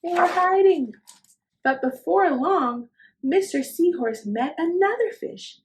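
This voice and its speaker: A woman hosting a children's storytime, reading a picture book expressively with drawn-out, child-directed phrasing. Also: extremely high pitch, lively intonation.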